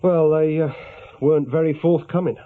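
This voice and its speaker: A man's voice: on the high side, fairly even intonation, moderate pace, narrow, tinny audio, close to an everyday voice. A man playing a plain-spoken, deferential police sergeant with an English accent, reporting a little hesitantly in a radio crime drama. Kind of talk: naturalistic British radio crime drama acting. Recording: thin, band-limited radio drama recording.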